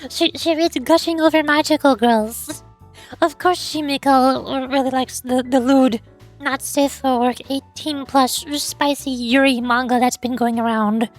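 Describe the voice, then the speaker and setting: A young woman streaming a game in casual, rambling chat, her voice hoarse and fading. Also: sits high, fairly even intonation, a little slow, soft background music. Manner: rambling, self-pitying banter with fillers. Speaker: VTuber streamer.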